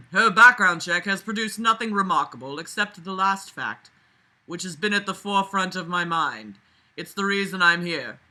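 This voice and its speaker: A woman's voice: lowish voice, normal speed, bright, forceful tone. A woman reading a novel aloud and breaking off for casual, mocking, exasperated commentary full of swearing. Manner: sarcastic, exasperated, foul-mouthed.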